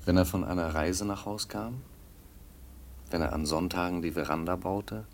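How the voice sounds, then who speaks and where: A disillusioned grown son talks in a very deep, creaky voice. He is gentle and sombre, in the style of an old German radio play. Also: moderate pace, slight background noise. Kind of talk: 1950s German radio drama acting. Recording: old 1950 radio production.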